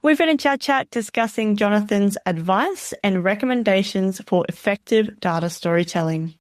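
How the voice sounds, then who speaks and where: A woman's voice: mid-range voice, normal speed, dry recording, studio quality. A woman hosting a podcast, introducing a guest and asking questions in a friendly, upbeat way. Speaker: podcast host.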